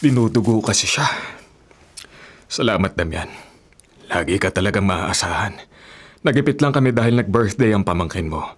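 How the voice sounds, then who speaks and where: A man's voice: lowish voice, animated, moderate pace, long pauses. A man playing an apologetic, hard-up older brother in a hurry, in a Filipino radio drama. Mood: sheepish, hurried.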